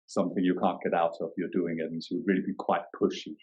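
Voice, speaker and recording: A man in his forties with a very deep, low voice. He talks in a reflective podcast interview, pausing and reworking his sentences as he searches for words. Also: little pitch movement, darkish tone, muffled recording. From Denmark. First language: Danish. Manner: thoughtful, searching for words, many restarts. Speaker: yoga teacher and guest.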